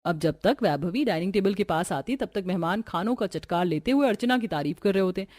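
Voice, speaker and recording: A woman giving scripted narration for an animated family story. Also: medium pitch, quick pace, dry recording, clean studio sound.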